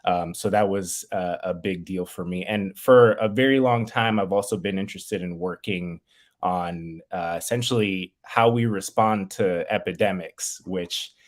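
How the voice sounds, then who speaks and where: A man's voice: deep voice, measured pace. A man in his thirties from New York City, a physician talking about his career in a friendly, eager way, often repeating words as he restarts. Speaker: infectious disease physician, guest. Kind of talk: casual interview.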